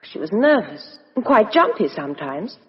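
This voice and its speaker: An actress playing a cool, outspoken, sharp-minded young Englishwoman in a 1950s radio drama. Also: medium pitch, sing-song, normal speed, lightly acted. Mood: agitated, frank. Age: young adult. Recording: muffled vintage radio broadcast, reverberant studio.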